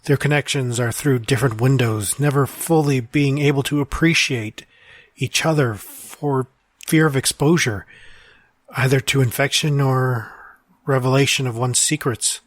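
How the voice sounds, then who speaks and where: Man performing a melancholy male time traveller, mid-pitched, old-fashioned formal phrasing, reflective audio drama letter narration, dry sound. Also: steady tone, measured pace, dry recording, lightly acted.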